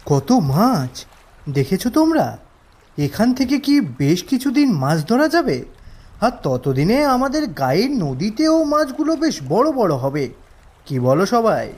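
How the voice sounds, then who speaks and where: Male actor as excitable cartoon fisherman, high pitch, animated swooping delivery, eager villager. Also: a little slow, heavily acted. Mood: excited. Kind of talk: Bangla cartoon voice acting.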